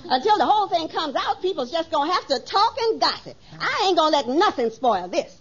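An actress playing a headstrong, scheming, fed-up wife in an old radio sitcom, firm and emphatic with folksy grammar. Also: female voice, very high-pitched, big pitch swings, a little fast, a bit bright, some chatter behind, a little hiss or hum, heavily acted. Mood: determined. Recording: muffled vintage radio, faint audience.

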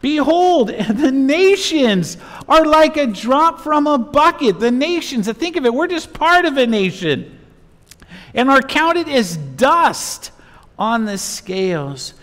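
A middle-aged man preaches a verse-by-verse sermon. His voice sits very high for a man, and he delivers scripture and rhetorical points emphatically, in short phrases. The church hall adds a long reverb. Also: big pitch swings, slow pace, fairly bright tone. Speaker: pastor. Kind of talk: expository sermon with Bible reading. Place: church sanctuary, at a pulpit.

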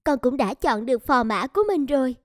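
High-voiced, dutiful young princess. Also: female voice, lively intonation, brisk, dry recording, clean studio sound. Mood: respectful. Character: young gentle princess, dutiful daughter of a king. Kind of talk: cartoon fairy tale dubbing.

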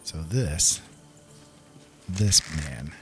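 A man's voice: rumbling low, expressive, drawn-out words, soft background music. A man giving casual, easygoing commentary on his own gameplay, talking to himself as he plays.

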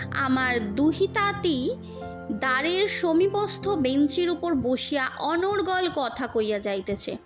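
Slow, high-voiced woman storyteller over music. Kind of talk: scripted literary audiobook narration. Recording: music bed under the voice. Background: background music.

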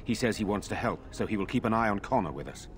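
A man's voice: fairly low pitch, expressive, fast talker, slight background noise, close to an everyday voice. A man playing a concerned, loyal nobleman in a fantasy video game, with a grave, earnest way of talking.

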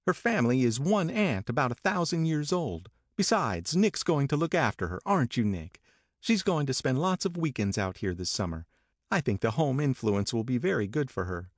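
This is a languid, teasing young woman with a lilting, breathy drawl, voiced by a man reading aloud. Her voice sits high above the narrator's own. The sound is dry and close. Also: very animated, moderate pace, no echo.

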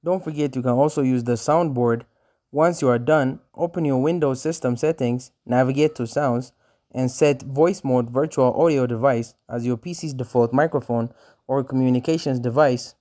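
A man narrates a scripted how-to guide in a voice-over. His voice is medium in pitch with a soft, dark tone, and he lays out instructions one step at a time. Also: moderate pace. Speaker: tutorial narrator.

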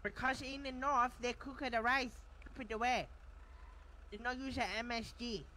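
A woman voicing a brash Chinese man in a game roleplay, speaking in broken English with a put-on accent and quick to call others racist. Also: fairly high pitch, fairly even intonation, bright, forceful tone, rough recording. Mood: indignant. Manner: touchy, quick to cry racism. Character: brash Chinese man named Wang, noodle cook. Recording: game voice chat with crowd noise.

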